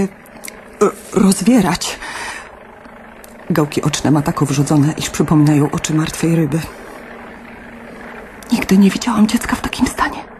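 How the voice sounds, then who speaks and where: A woman's voice: low voice, darkish tone, a little hiss or hum. Woman acting an anxious wartime mother. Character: worried wife and mother in wartime Japan.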